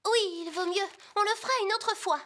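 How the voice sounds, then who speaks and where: Helpful cartoon dispatcher girl, extremely high crisp-edged bright voice, reassuring, faint music. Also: fairly quick. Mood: serious warning. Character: young female rescue team dispatcher, helpful and reassuring. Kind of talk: dubbed children's cartoon acting.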